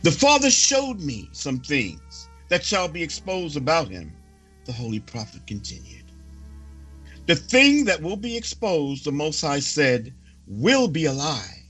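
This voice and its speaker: A man reading a prophecy in a solemn sermon style, with emphatic, deliberate oratory and formal phrasing. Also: on the high side, wildly swooping pitch, fairly bright tone, husky, faint music behind. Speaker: religious reader delivering a prophecy report.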